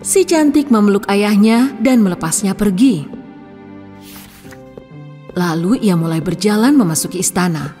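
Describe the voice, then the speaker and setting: A woman's voice: medium pitch, expressive, a little slow, long pauses, dark tone, in a large hall, faint music behind. A woman narrating a scripted fairy tale for children as storyteller.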